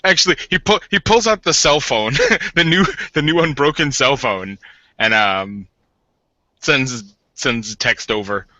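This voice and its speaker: A man's voice: mid-range voice, unhurried, pushed and bright, no echo. A man playing a tabletop roleplaying game, bantering casually and narrating in a jokey, repetitive way. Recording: dull muffled lo-fi audio.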